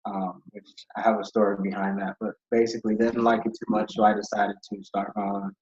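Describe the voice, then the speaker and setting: A man's voice: fairly low pitch, flat delivery, brisk, a little mellow. A young man from Ghana, a podcast guest who tells his story in a rambling, casual way with many fillers. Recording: dull lo-fi audio.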